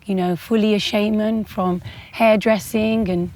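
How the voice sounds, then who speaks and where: A woman's voice: medium pitch, fairly even intonation, a little slow, a little mellow. A woman interviewing in a conversational way, with informal, roundabout phrasing as she leads into her questions. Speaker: interviewer, host.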